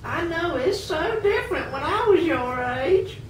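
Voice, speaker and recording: A kindly older woman, played by a woman in an amateur puppet show. She speaks slowly in a high voice, in an echoey room. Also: animated. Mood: friendly, reflective. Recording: echoey room, distant mic, faint noise.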